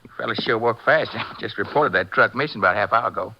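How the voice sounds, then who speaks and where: A man's voice: fairly low pitch, fast talker, gravelly. A man playing a defensive, folksy ex-convict rancher who resents suspicion, in 1950s radio drama style. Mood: easygoing, uneasy. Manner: folksy, protesting, plain-spoken. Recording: muffled, faintly noisy 1950s radio recording.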